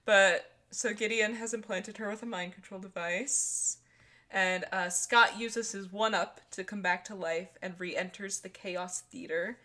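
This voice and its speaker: A woman's voice: mid-range voice, fairly even intonation, unhurried, bright, forceful tone. A young woman co-hosting a podcast, chatty and giggly, saying "like" often. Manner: giggly, chatty, says "like" a lot. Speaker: podcast co-host. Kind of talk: casual chat.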